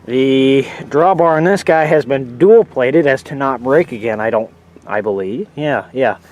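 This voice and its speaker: A man's voice: medium pitch, measured pace, a little mellow. A man showing an old tractor and rambling through his restoration plans, a little sniffly, with restarts and asides as he works outdoors. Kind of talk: casual walkaround vlog. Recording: noisy outdoor handheld recording.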